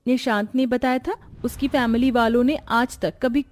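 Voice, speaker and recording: Female narrator, fairly high voice, scripted storytelling for cartoon family tales. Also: steady tone, moderate pace.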